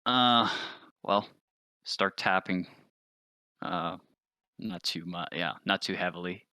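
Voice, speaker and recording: A man's voice: deep voice, slow pace, bright, forceful tone, dry recording. A man playing a tabletop roleplaying game, talking casually but precisely, explaining technical details.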